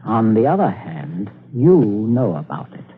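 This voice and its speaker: A man playing a cold, domineering father who threatens calmly, in clipped, matter-of-fact radio drama style. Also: lowish voice, unhurried, a little hiss or hum. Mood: cold, menacing. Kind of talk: old-time radio drama acting. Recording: muffled lo-fi radio recording.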